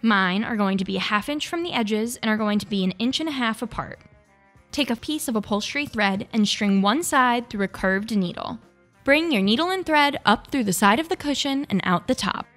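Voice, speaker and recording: A woman's voice: a little high, lively intonation, normal speed, a bit bright. A young woman hosting a craft tutorial, reading scripted step-by-step instructions. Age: young adult. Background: background music.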